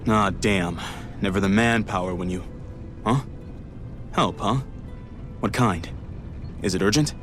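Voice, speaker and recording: Overworked, lowish-voiced camp organiser. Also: male voice, unhurried, long pauses, a bit bright, soft background music. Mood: busy, earnest. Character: overworked, practical, kindly male slave camp organiser. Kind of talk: video game voice acting.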